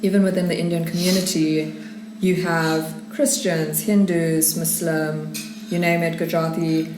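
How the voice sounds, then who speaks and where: A woman's voice: fairly low pitch, little pitch movement, unhurried, background noise. A South African woman in her thirties talking casually and reflectively, with cutlery and dishes clinking around her. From northern KwaZulu-Natal, South Africa. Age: 30s. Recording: roomy sound, loud clatter of dishes.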